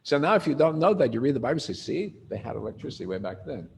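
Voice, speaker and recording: Gravelly older man lecturing with lively questions. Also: medium pitch, fast talker, slight background noise. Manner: animated storyteller, asks the room questions. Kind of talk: informal lecture to a group.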